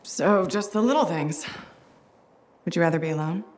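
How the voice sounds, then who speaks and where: A woman's voice: on the low side, moderate pace, darkish tone, lightly acted. A woman playing a blunt, loyal exosuit pilot in a video game cutscene, speaking in a dry, direct way. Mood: supportive. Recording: music behind the voice.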